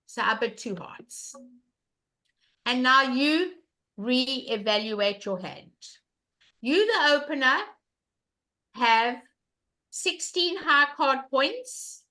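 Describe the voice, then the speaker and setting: An older woman with a loud voice teaching an online bridge class, emphatic and repeating questions to her students over a webcam. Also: high-pitched, lively intonation, drawn-out words, long pauses, poor audio.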